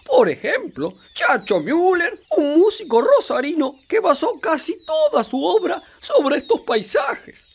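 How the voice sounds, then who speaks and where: An Argentine actress playing an expressive island guide who explains things to a child in a children's radio play. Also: female voice, sits high, unhurried, creaky, hardly any pauses, heavily acted. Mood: enthusiastic, explaining. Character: animated female island guide telling a child about the river.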